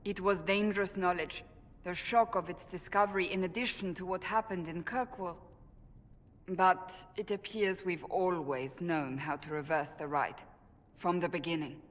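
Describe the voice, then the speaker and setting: A woman's voice: on the low side, steady tone, moderate pace, lightly acted. A woman playing a stern, earnest warrior of a holy order in a fantasy game, speaking in a formal, intense way. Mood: grave. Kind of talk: dramatic fantasy game acting. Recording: reverberant hall with faint ambient noise.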